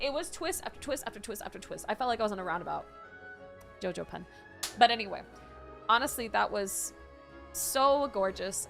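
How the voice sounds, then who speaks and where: A woman's voice: mid-range voice, creaky, sharp tone. A woman streamer giving casual, gushing reaction commentary on a game, repeating words for emphasis. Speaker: gaming streamer.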